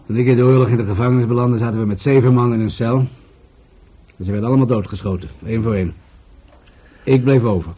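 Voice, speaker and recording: A male actor in a Dutch radio play, playing an older, sceptical and commanding police commissioner who speaks deliberately. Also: mid-range voice, mellow, husky, close to an everyday voice. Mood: reflective.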